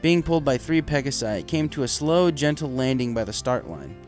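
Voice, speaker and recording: A man's voice: mid-range voice, animated, brisk, a little mellow, soft background music. A man reading a story aloud as narrator, in a scripted storytelling style. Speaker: story reader.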